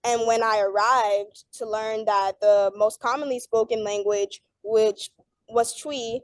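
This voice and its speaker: A woman's voice: fairly high pitch, fairly even intonation, slow, no echo. A young woman student telling a panel about her time abroad in a friendly, chatty way. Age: young adult. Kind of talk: panel discussion.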